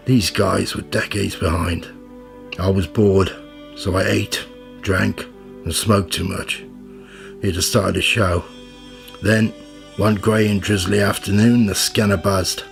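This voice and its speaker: A man's voice: sits low, fairly even intonation, measured pace, creaky, faint music behind. A man in his fifties playing a cynical, world-weary journalist in a radio play, with a wry, dry manner. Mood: wry, jaded. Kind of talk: radio play narration and dialogue.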